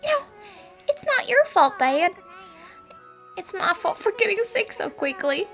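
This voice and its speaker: A woman playing an earnest, devoted young adult daughter, speaking sincerely and gently in an animated drama fan dub. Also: very high-pitched, measured pace, soft background music, heavily acted. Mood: tender, grateful.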